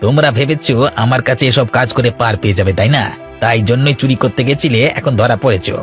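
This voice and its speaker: A man's voice: mid-range voice, quick pace, natural voice. A man playing an arrogant young landowner's son in a cartoon, accusatory and domineering. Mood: accusing. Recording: light background music.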